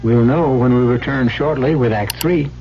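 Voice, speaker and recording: A man hosting a vintage radio drama with grave, dramatic, deliberate scripted narration. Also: medium pitch. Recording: muffled old radio recording. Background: music.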